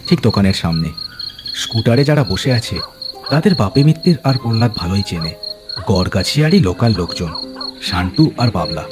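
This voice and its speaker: A man's voice: lowish voice, big pitch swings, brisk, over music. A man narrating a horror story in a dramatic, scripted storytelling style.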